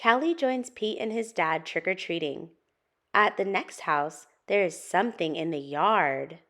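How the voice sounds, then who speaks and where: Animated woman reading to children. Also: fairly low pitch, a little slow. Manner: expressive, addressing young children. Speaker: storytime host and narrator. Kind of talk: children's picture book read-aloud.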